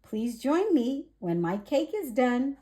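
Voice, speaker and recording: A woman acts out a young storybook raccoon girl, eager and polite. Her questions come out sing-song and very slowly, swooping up and down in a soft, mellow tone. She sounds earnest and grateful. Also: high voice, big pitch swings, pitched above the performer's own voice, heavily acted. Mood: eager, friendly. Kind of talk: children's storybook character voice.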